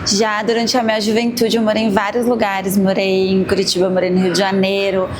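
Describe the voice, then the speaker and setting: Forties woman from Florianópolis, steady interviewee, flat intonation. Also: mid-range voice, a little fast, noisy background. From Florianópolis, Brazil. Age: 40s. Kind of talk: documentary interview.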